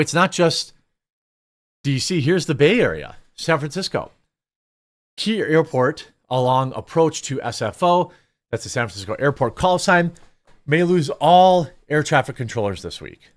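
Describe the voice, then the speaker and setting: A man's voice: on the high side, expressive, normal speed. Blunt, opinionated male news commentator. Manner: blunt, opinionated, rhetorical questions. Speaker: host of a news commentary show. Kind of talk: off-the-cuff opinion commentary on news, partly reading articles aloud.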